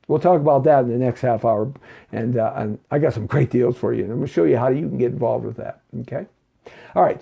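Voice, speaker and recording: A man's voice: mid-range voice, vocal fry, breathy edge, highs cut off. A man in his fifties hosting a live money talk show, preaching and repeating himself, and often checking in with "okay?" and "right?". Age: 50s.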